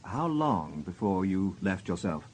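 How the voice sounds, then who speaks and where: Wry inspector, lively low voice. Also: male voice, a little slow, slightly rough, heavily acted. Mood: wry, probing. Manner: dry, questioning, ironic. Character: shrewd, wry, educated male police inspector. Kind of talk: radio drama acting.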